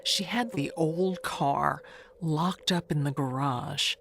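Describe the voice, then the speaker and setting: Woman acting a creaky, low-voiced gossipy spinster. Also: a little hiss or hum, heavily acted. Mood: confiding, suspicious. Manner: confiding, chatty, with pointed pauses and hushed asides. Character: gossipy, wistful, never-married older woman neighbor, insinuating. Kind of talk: dramatic monologue in an audio mystery tale.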